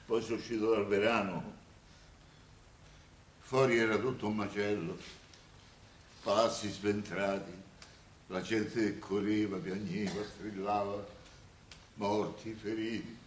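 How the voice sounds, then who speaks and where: An actor in a radio play voicing a dazed, plain-spoken man with a Roman accent who tells his story haltingly. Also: on the low side, steady tone. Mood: dazed, sorrowful. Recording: echoey, faintly noisy room recording.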